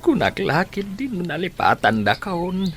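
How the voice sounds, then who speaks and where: A remorseful old father in a radio drama, played by a man, speaking slowly in a fairly high male voice with a Filipino accent. He sounds weary and self-reproaching, with clear music behind him. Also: big pitch swings, a bit bright, full character voice. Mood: grateful, contrite.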